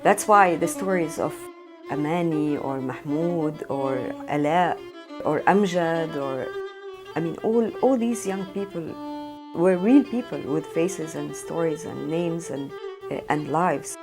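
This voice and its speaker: A woman theatre director talking earnestly in an interview, pausing often with fillers. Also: deep voice, over music. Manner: earnest, halting with frequent fillers. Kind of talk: documentary interview.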